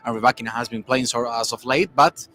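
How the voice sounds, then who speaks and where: A man co-hosting a tennis podcast, talking through the day's results in a loose, rambling way. His voice sits at a middling pitch, and a faint ambient hum lingers behind him. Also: steady tone, fast talker, a bit bright. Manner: rambling, many restarts and fillers. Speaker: tennis podcast co-host reporting on site. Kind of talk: casual conversational analysis.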